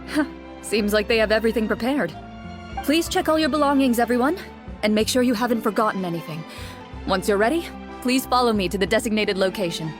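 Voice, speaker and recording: Game duelist, female, acted: composed, measured, courteous, mid-pitched, music behind. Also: close to an everyday voice. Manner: measured, polite, matter-of-fact. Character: composed, serious female duelist guiding friends through a game. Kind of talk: video game voice acting.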